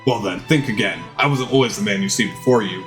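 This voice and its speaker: A man as confident older chef mentor, lowered voice, gentle, measured, game character, faint music behind. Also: natural voice. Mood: calm, wise.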